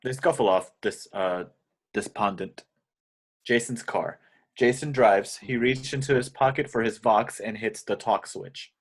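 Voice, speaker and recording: A man's voice: fairly low pitch, measured pace, slightly rough. A man reading stage directions as scripted narration in a table read.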